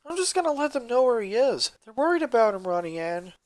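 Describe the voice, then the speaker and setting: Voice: medium pitch, big pitch swings, quick pace, a little mellow, no room sound, pitched above the performer's own voice, natural voice, rough recording. Someone reading as laid-back teen brother, kinda reluctant, story dialogue, dry sound. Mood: reassuring. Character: easygoing, reluctant teenage older brother.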